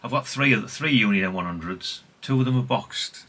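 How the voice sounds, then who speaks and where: A man's voice: mid-range voice, brisk, pushed and bright. A middle-aged British man talking casually in a rambling, chatty show-and-tell way, often correcting himself and adding tag questions. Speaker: hobbyist video host.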